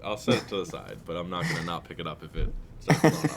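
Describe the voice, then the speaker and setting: A man co-hosting a podcast in casual chat, sounding nasal as he gets over a cold, telling dry deadpan jokes and laughing often. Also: sits low, fast talker, vocal fry. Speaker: podcast co-host.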